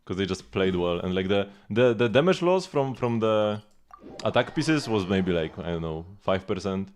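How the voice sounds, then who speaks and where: A man's voice: lowish voice, expressive, normal speed, darkish tone, slight background noise. A man giving casual live gameplay commentary, rambling and thinking aloud as he goes. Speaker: game streamer. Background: game music.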